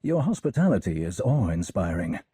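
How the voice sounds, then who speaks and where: A man's voice: deep voice, big pitch swings, moderate pace, dark tone, a little hiss or hum. A man playing an eager, courteous scholar in a game cutscene, speaking with polished, formal phrasing. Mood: courteous, intrigued. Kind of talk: video game cutscene acting.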